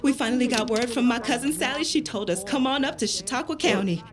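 An actress playing a practical, hopeful wife in a period audio drama, folksy and persuasive. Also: female voice, on the high side, quick pace, a crowd in the background, close to an everyday voice. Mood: elated.

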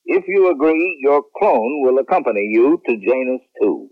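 An actor playing an authoritative general who runs a space agency, giving measured official briefings in a 1970s radio drama. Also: male voice, medium pitch, lively intonation, no room sound, lightly acted. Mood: firm. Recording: tinny, dry old radio broadcast.

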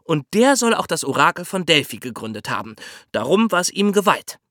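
Male podcast explainer, bright tone, very lively intonation, colloquial storytelling style. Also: fairly high pitch, fairly quick, no room sound, studio quality. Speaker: podcast host explaining history.

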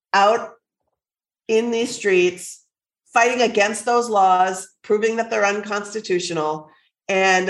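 A woman co-hosting a podcast, stuffy and low-voiced while recovering from an illness, talking bluntly and with passion, often swearing. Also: reverberant. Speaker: podcast co-host. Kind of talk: casual chat.

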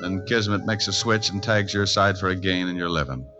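An actor playing a tough, wisecracking private detective in 1940s radio style, with a wry, slangy delivery. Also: male voice, deep voice, a little fast, over music, close to an everyday voice. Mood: wry narration. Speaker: lead actor and narrator. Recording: dull vintage 1940s radio recording.

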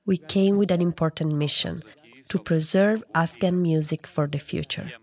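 Low-pitched woman reporting for radio news. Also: moderate pace. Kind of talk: scripted news narration.

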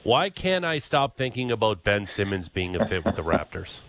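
A man co-hosting sports radio, asking questions and reading a brisk station identification. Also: lowish voice, very animated, fairly bright tone, slight background noise.

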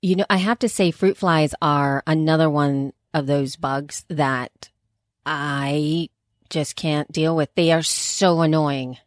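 Woman from el salvador, low-ish voice, jumps way up when she gets worked up, dry sound. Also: takes it slowly, no echo. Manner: animated, swoops up in pitch when exasperated. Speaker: podcast co-host. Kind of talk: casual chat.

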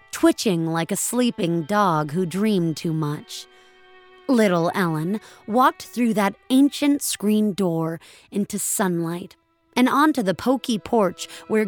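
A woman narrator reading a scripted dramatic story in a measured, deliberate way. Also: medium pitch.

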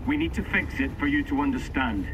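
A man's voice: medium pitch, lively intonation, brisk, recorded from a distance, background noise, natural voice. A man playing an anxious, inquisitive fugitive adventurer in an audio drama. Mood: anxious, pleading.

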